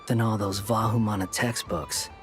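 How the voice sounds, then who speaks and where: A man's voice: on the low side, fairly even intonation, moderate pace, faint music behind, lightly acted. A man voicing an aloof, sardonic young man in a fantasy video game, with dry sarcastic delivery. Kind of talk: video game dialogue acting.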